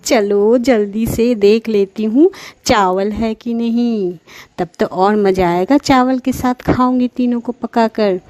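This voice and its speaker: A woman's voice: mellow, no room sound. Woman voicing a gloating, greedy cartoon witch. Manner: gloating, with high-pitched cackles. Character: gleeful greedy witch eager to cook her captives. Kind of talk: children's cartoon villain acting.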